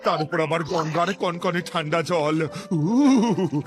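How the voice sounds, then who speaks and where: Man playing a flustered, high-voiced cartoon god. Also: slow pace, soft background music. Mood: exasperated. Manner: whiny, exclaiming complaints. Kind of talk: comic cartoon voice acting.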